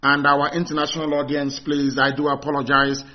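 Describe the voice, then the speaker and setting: A man's voice: fairly high pitch, completely flat delivery, brisk. Impassioned man on a lo-fi stream. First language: Igbo. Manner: fervent and agitated, crying out exclamations and rhetorical questions. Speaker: broadcaster of a live stream. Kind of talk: impassioned live-stream address.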